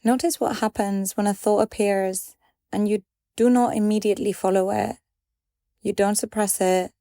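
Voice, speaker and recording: A woman leading a guided meditation in a calm, gentle and measured way, leaving pauses between phrases. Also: medium pitch, dry recording, clean studio sound.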